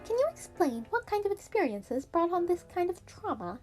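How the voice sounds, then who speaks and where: A woman voicing a gentle but gleeful, scheming animal school principal, speaking formally and eagerly in an audiobook-style fan story. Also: very high voice, big pitch swings, normal speed, voice pushed up. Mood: gentle, brisk. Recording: piano music behind the voice.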